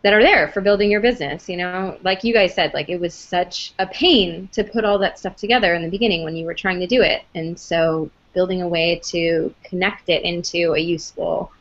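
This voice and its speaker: A woman's voice: lowish voice, brisk, hardly any pauses, muffled recording. A woman hosting a casual live web interview in a chatty, conversational way. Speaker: host of a software company's web show.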